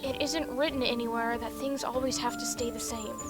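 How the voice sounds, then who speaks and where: A woman's voice: fairly high pitch, steady tone, fairly quick, close to an everyday voice. A woman voicing a young, earnest, commanding magical girl heroine in a comic fan dub. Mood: gentle, solemn. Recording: loud music behind the voice.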